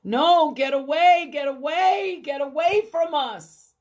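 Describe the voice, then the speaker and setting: A woman storyteller voicing a group of outcast men who loudly beg and shout. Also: high voice, takes it slowly, voice pushed up. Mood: pleading. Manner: loud, begging cries. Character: crowd of pleading outcast men.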